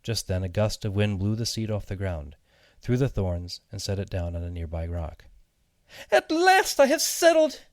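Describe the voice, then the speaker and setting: A man with a low voice narrates a children's story. His delivery is measured and expressive, clearly scripted reading. The sound is very dry, with no room around it. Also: dry recording. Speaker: audiobook narrator.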